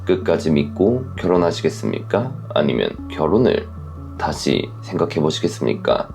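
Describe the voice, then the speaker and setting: A man hosting a letter-reading show, delivering scripted, reflective advice commentary in a polite, measured, earnest manner. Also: sits low, creaky, mellow.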